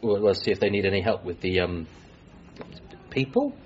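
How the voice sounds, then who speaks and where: A man's voice: sits low, moderate pace, darkish tone, dull audio, slight background noise, lightly acted. An actor playing an anxious, wry grown son, a medical man, narrating and talking in a radio comedy drama. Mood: flustered. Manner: wry, hesitant in dialogue.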